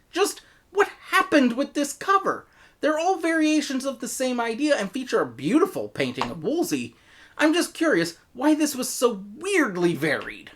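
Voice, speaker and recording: An American woman reads a scripted review in a notably low voice. Her intonation swings widely and expressively. She leans hard on key words with playful, exaggerated exasperation. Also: fairly bright tone. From United States. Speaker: audio drama reviewer.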